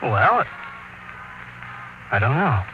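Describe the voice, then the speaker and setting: A man's voice: mid-range voice, very animated, very slow, narrow, tinny audio, soft background music. An actor playing an earnest, anxious space crewman in a 1950s radio drama, pleading and incredulous with his captain. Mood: skeptical. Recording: old radio recording with faint noise.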